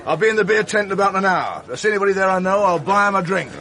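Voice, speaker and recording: An actor playing a brisk, no-nonsense first assistant film director in a radio drama. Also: male voice, high-pitched, pushed and bright. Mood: firm.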